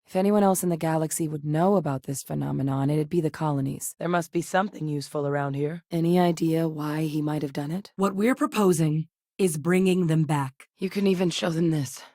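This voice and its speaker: A composed, principled scientist officer in a video game, her voice sitting low and even. She talks in a measured, earnest, direct way, close on the mic in a dry studio. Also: no room sound. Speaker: game companion character. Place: recording studio.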